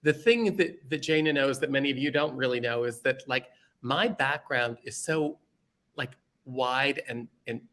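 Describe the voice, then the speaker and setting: An animated man from Canada and England talks as a guest on a casual chat show. His pitch sits in the middle for a man. He tells anecdotes in a chatty way, with careful diction and a readiness to laugh. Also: moderate pace.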